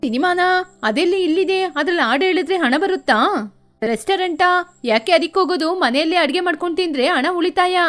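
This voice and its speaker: A woman voicing chatty, thrifty female village birds in an expressive children's cartoon style. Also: very high voice, fairly quick, soft background music.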